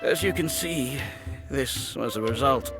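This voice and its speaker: A man's voice: medium pitch, unhurried, vocal fry. A man voicing an earnest, rather dejected toymaker in a video game. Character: earnest, dejected toymaker, a matter-of-fact father. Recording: background music.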